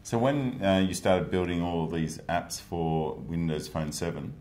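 Deep-voiced man hosting. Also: expressive, a little slow, darkish tone. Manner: brief, agreeable interjections. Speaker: host. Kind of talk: studio interview. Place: virtual news-style studio set.

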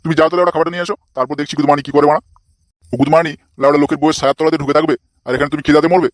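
A man's voice: fairly high pitch, little pitch movement, rapid-fire, a little hiss or hum, natural voice. A man voicing a crude, bossy, lecherous old father-in-law in a comic cartoon, foul-mouthed and blustering.

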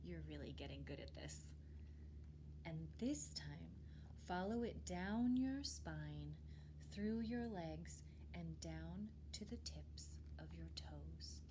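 A woman in her thirties reads a guided meditation for children aloud, calm and measured, with soft breathy phrase endings. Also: lowish voice. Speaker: children's librarian reading at storytime. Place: library room with bookshelves, indoors. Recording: clear steady background room noise.

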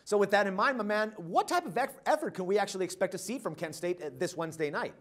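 A man's voice: high-pitched, animated, fairly bright tone. A man hosting a sports betting show in a studio, talking in an upbeat, casual way. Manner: upbeat, quick, casual bro-ish address. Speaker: host. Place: studio set.

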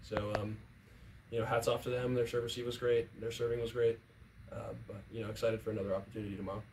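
A man's voice: lowish voice, flat delivery, fast talker, a little mellow. A young man, a college athlete, giving answers at a post-game press conference in an indoor room. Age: young adult. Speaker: college volleyball player. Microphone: distant camera microphone. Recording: distant camera pickup with faint room noise.

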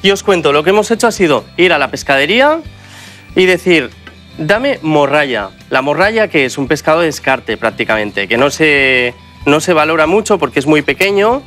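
A man's voice: on the high side, animated, normal speed, fairly bright tone, faint music behind. A middle-aged man with a Spanish accent, a chef hosting a TV cooking show, explaining ingredients to viewers in a studio kitchen. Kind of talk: TV cooking demonstration.

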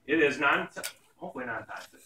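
A man's voice: medium pitch, big pitch swings, quick pace, sharp tone. A middle-aged man teaching as a chemistry professor, giving a playful live demonstration to a class in a lecture hall. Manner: projecting to a class, playful showmanship. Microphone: far camera in the audience. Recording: distant, echoey, noisy phone-style room recording.

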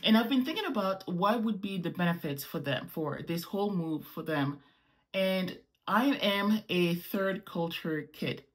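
A woman's voice: on the low side, measured pace. A woman in her thirties from Morocco, with French as her first language, talking casually to camera at home as a vlogger and gesturing as she explains. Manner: animated, gestures with hands while explaining. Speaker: travel vlogger. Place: small home room indoors.

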